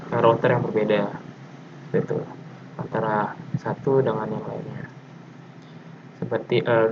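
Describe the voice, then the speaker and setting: A man gives an informal lecture presentation over slides, explaining technical material and often pausing mid-sentence. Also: medium pitch. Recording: dull lo-fi audio with faint noise.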